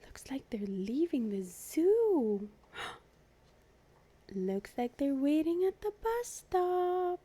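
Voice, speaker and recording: A woman hosting a children's read-aloud, narrating a picture book expressively for young listeners. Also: sits high. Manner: clear storytelling with animated asides to young listeners.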